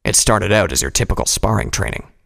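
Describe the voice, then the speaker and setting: Man voicing a deep, gravelly, stern soldier stallion. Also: lively intonation, a bit bright, long reverb tail, full character voice. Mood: matter-of-fact. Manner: stern, measured. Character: stern, exasperated military pony stallion, deep and commanding.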